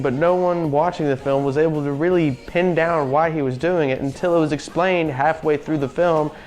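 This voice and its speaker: A young man hosting a casual video review show, reading out facts and riffing on them offhandedly. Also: on the high side. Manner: chatty, offhand, reads facts then riffs. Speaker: podcast host.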